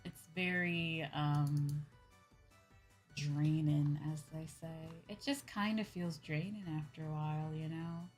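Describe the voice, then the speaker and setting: A woman's voice: low-pitched, steady tone, takes it slowly, soft background music. A young woman streamer chatting casually with viewers in a hesitant, rambling way, often repeating her phrases. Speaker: VTuber streamer. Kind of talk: casual livestream chat with viewers.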